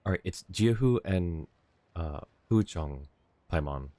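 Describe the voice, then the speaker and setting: A man's voice: low-pitched, lively intonation, measured pace, dark tone. A man livestreaming a game with casual, conversational commentary into a close mic. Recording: dry close mic, game music behind.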